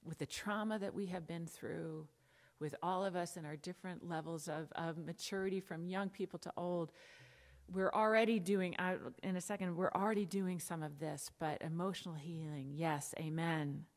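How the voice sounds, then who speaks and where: A middle-aged American woman with a low voice preaching a sermon from a church pulpit. She talks conversationally, with asides and emphatic lists, into a lapel mic. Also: fairly even intonation, moderate pace. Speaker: pastor.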